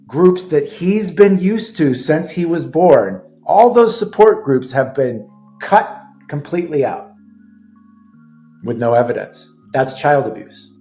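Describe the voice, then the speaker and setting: A man's voice: fairly high pitch, darkish tone, roomy sound. A man hosting a casual podcast discussion about his own custody case. He speaks firmly and emphatically, repeating his points. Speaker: host, father in custody case.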